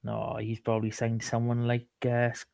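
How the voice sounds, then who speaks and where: A man co-hosting a sports podcast, talking casually about rugby in a halting way, often repeating words. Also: medium pitch, monotone, gravelly, darkish tone, no echo, clean studio sound.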